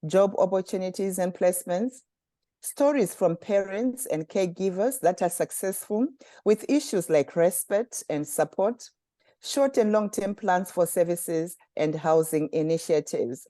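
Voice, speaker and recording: A woman from Zimbabwe hosting a community webinar, reading formal prepared remarks in a measured way. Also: fairly low pitch, warm tone, no echo, clean studio sound. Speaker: communications director and webinar host. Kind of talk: scripted webinar introduction.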